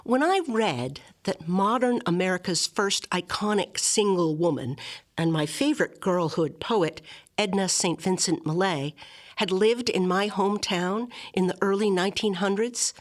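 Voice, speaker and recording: A woman reading a literary memoir aloud as scripted narration, with measured, articulate and expressive phrasing. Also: medium pitch. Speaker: reader of a literary memoir excerpt.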